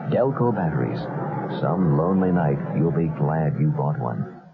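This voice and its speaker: Very deep male radio ad announcer. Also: expressive, moderate pace, gravelly. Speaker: commercial announcer. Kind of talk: 1970s scripted radio battery ad. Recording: tinny radio, loud background noise. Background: loud ambient noise.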